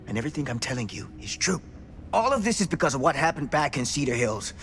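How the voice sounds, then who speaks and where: An actor playing a blunt, urgent, haunted old former small-town sheriff in a cinematic horror game. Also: male voice, medium pitch, very animated, quick pace, a bit bright, faint music behind. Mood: agitated. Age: older.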